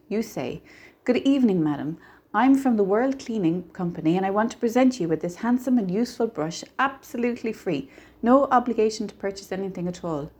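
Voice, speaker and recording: A little stranger with a peddler's patter, voiced by a woman reading a story. He is kindly and mysterious, coaxing with patient good cheer in a soft, mellow tone. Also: mid-range voice, slightly rough. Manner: patient, coaxing, brightly reassuring.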